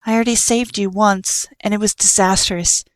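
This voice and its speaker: A calm, sorrowful child who sees all, as a woman storyteller puts it on, sounding a little higher than her own voice. Soft and earnest, with a patient weight behind the words. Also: moderate pace, no echo, close to an everyday voice.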